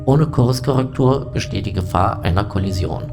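A man playing a calm, formal space station computer in a science fiction audio drama, reporting precisely and without emotion. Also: on the low side, gravelly. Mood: calm, matter-of-fact. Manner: precise, measured, unemotional reporting. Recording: loud music bed behind voice.

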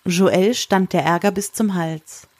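A woman's voice: lowish voice, takes it slowly, darkish tone, dry recording. A woman narrator delivering scripted prose for an audio drama.

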